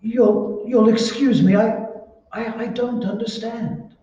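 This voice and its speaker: A man plays a timid, frightened husband in a radio drama, hesitant and stammering. His voice is high and mellow with a very drawn-out pace, muffled in a very echoey space. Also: steady tone. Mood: meek, nervous. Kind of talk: old-time radio drama acting. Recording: very echoey, muffled, distant room recording.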